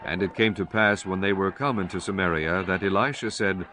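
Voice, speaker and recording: A man narrating dramatized scripture in a solemn, measured storytelling manner. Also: low voice, fairly even intonation, noisy background. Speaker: audiobook narrator. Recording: music bed under the voice. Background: music.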